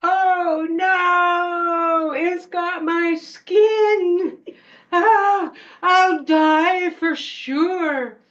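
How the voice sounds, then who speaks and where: Woman acting a shrill, wailing panicked child. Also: steady tone, very slow, muffled recording, heavily acted. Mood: wailing despair. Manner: wailing, shouting, melodramatic. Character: panicked child theatrically wailing to fool a monster.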